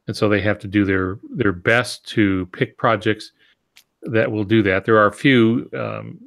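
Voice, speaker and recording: A man's voice: lowish voice, steady tone, measured pace, vocal fry, a bit bright. A man co-hosting a podcast, giving conversational advice in a plainspoken, matter-of-fact way. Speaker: podcast co-host.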